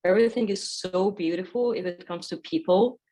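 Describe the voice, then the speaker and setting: A woman's voice: fairly low pitch, normal speed, warm tone, low-quality recording. A woman from Iran with an Iranian accent and Persian as first language, speaking personally and effusively as a panel guest. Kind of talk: conversational personal reflection.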